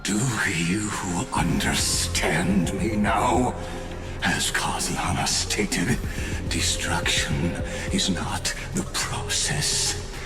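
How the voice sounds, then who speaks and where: An actor playing a coldly fanatical ancient genius villain in a video game, delivering solemn, pompous, deliberate monologues. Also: male voice, deep voice. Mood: grandiose, fanatical. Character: grandiose ancient male genius villain, a machine-bound mind, coldly fanatical. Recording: echoing voice over prominent music.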